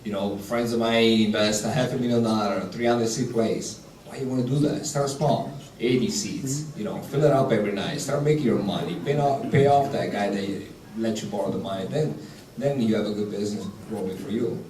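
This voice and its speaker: An Italian-accented man speaks plainly on a business panel, his voice a little low. He is picked up from a distance, so it rings through a big echoey hall over faint noise. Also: fairly quick, a little mellow. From Italy. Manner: direct, practical, with broken grammar. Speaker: executive chef on a panel. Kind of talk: panel discussion, advice. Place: large hall.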